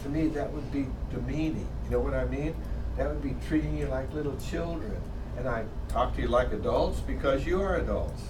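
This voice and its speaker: A middle-aged man with a raspy voice teaching a college class, joking and animated as he lectures. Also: mid-range voice. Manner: animated, joking, exaggerated delivery. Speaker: college instructor. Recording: echoey classroom from far off, noisy.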